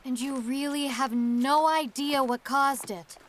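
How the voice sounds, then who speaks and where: Concerned professor lady in an audio drama, high voice, keeps it together, slight noise. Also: a little slow. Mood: puzzled. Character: concerned, composed female professor, former partner of the anthropologist.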